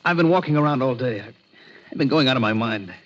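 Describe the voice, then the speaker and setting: A man playing a high-strung, frightened young chemist who pleads in a 1940s radio drama style. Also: expressive, quick pace, fairly bright tone, lightly acted. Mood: haunted, fearful. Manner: agitated, pleading, haunted. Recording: muffled 1940s radio recording.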